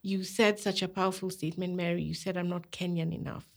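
A woman psychologist speaking thoughtfully on a podcast, with many pauses and fillers. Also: on the low side, level tone, vocal fry. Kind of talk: casual podcast conversation.

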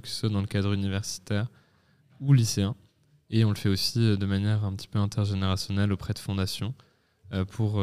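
Give me a young man with a smooth, flat voice, talking through his studies calmly with lots of filler pauses. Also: on the low side. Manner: measured, many filler pauses and self-corrections. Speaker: guest, student association president. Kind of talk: casual podcast talk.

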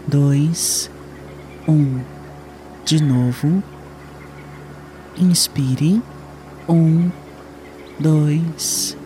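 A man leading a guided meditation in a soothing, unhurried way with long pauses. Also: fairly high pitch.

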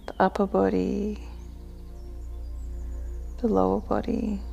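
A woman guides a meditation in a soft, mellow voice with heavy vocal fry. She speaks very slowly, giving gentle, soothing instructions. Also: mid-range voice, completely flat delivery, lo-fi sound, faint music behind.

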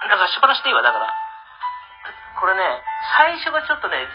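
A man's voice: high voice, wildly swooping pitch, quick pace. An older man with a Nagoya-area accent chats casually as an amateur show host, often searching for his words. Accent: Nagoya-area Japanese. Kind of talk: casual planning chat. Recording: dull lo-fi radio-like sound. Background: music.

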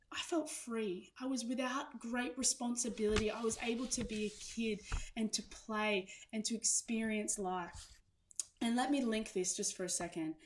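A woman preacher speaks to her congregation with a mid-pitched voice. Her delivery is earnest and reflective, moving between personal sharing and gentle exhortation in an informal sermon style. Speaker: church preacher.

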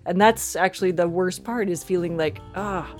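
A woman's voice: lowish voice, normal speed, a little mellow, faint music behind. A woman chatting casually and emphatically as an interview guest about her creative work. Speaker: interview guest, audio fiction writer and producer.

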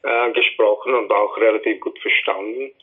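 A man from India, a musician, speaks as an interview guest over the phone in a halting way. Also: fairly low pitch, fairly even intonation, husky. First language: Hindi, English. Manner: halting, with restarts. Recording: tinny phone line.

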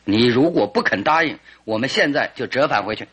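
An actor playing a composed, confident and teasing detective in a radio drama, with a deliberate, commanding manner and a husky voice. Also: male voice, medium pitch, animated, fairly bright tone. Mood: firm, cautioning.

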